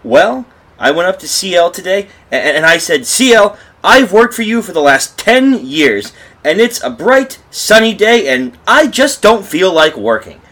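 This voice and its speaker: A man playing a tormented husband in an old-style radio horror drama, theatrical and husky. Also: high voice, sing-song, a bit bright, exaggerated voice. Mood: cheerful. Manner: theatrical, brooding, halting monologue. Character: tormented lost husband, haunted, telling his doom.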